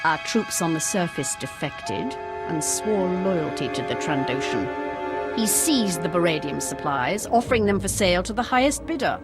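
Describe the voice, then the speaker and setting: A woman's voice: lowish voice, sing-song, normal speed. Snooty stern military commander lady for a game, formal and commanding, music behind. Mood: bitter, disdainful. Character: stern, haughty female imperial military commander. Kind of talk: scripted video game acting.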